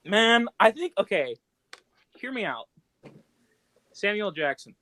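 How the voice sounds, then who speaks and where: A man co-hosting a podcast in casual, slangy chat, rambling as he thinks out loud. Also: high-pitched, very animated, takes it slowly, a little hiss or hum. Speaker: podcast co-host.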